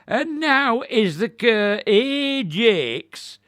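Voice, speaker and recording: Scurrilous railing camp cynic, Shakespearean audio drama, halting mutter, swooping highish pitch, close dry sound. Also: male voice, sing-song, fairly bright tone, dry recording, full character voice. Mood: scornful.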